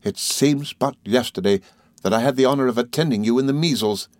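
A man voicing a meek, timid middle-aged country doctor who speaks slowly and apologetically, stammering and adding sir to nearly every phrase. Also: mid-range voice, darkish tone, no room sound, studio quality, voice pushed up, lightly acted. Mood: meek, fluttered. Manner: slow, apologetic, hesitant, with deferential sirs.

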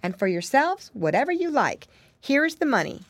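A woman voices a jolly old rabbit gentleman from a children's storybook. He talks in a genial, courteous way, with a raised, lilting pitch and lively swings, recorded dry. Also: moderate pace, dry recording, exaggerated voice.

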